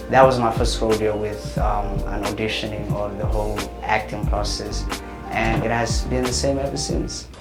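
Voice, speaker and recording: Soft-spoken man, roomy sound. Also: on the low side. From Somalia. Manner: hesitant, many fillers. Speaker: interviewee, writer and actor. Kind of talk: casual interview. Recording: roomy with loud music behind.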